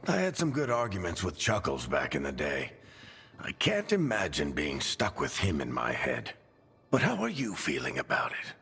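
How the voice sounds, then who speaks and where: A man's voice: rumbling low, wildly swooping pitch, moderate pace, creaky, a bit bright, husky, exaggerated voice. An actor playing a wry, fatherly dwarf mentor in a video game, who calls the hero kid. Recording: long hall reverb, faint music. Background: faint game music.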